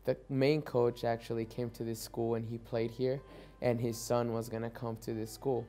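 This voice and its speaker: A man's voice: mid-range voice, level tone, normal speed, warm tone, faint music behind. A young man from Mexico whose first language is Spanish, a soccer player speaking reflectively in a documentary interview and often repeating words as he restarts.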